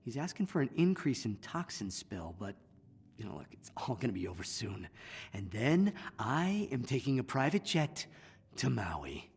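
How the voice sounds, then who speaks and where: Young male game scientist: husky, agitated, defensive, highly varied intonation. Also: sing-song, normal speed, a little mellow, full character voice. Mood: weary, muttering. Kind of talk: dramatic video game voice acting.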